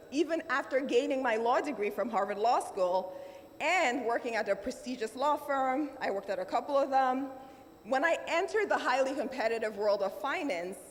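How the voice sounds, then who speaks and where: A middle-aged woman with a Nigerian accent gives a motivational keynote speech, measured and emphatic, with dramatic pauses. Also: high-pitched, expressive. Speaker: keynote speaker. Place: large conference hall. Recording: roomy hall reverb, faint room noise.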